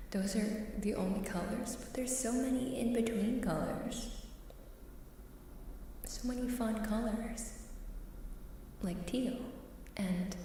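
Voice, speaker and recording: Female ASMR streamer, gentle volume, casual chat with whispered stretches; heavily echoed, slightly distant from the mic, faint ambience behind. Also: medium pitch. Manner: soft-spoken, often dropping into a whisper. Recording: heavily echoing reverberant room sound, faint noise.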